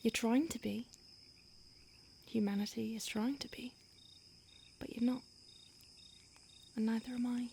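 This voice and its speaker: A woman's voice: a little high, fairly even intonation, a little slow, creaky, pauses often, a little hiss or hum. A woman whispering as a starving vampire stalker. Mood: grim, confiding. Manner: soft intimate whisper. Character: starved, conflicted female vampire stalker, obsessive and apologetic. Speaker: audio roleplay performer. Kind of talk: whispered audio roleplay.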